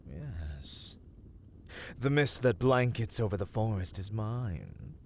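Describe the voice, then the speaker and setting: A man playing an ancient vampire lord in a dark fantasy ASMR roleplay, imperious and coldly courteous, with theatrical, archaic phrasing that often falls into whispers. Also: on the low side, big pitch swings, slow, a little hiss or hum, exaggerated voice. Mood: haughty. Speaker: voice actor in audio roleplay.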